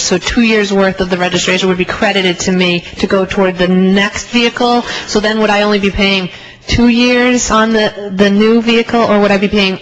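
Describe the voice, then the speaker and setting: A woman's voice: medium pitch, slight background noise. American assemblywoman on a dull microphone. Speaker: legislator. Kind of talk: questioning at a committee hearing. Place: legislative committee hearing room.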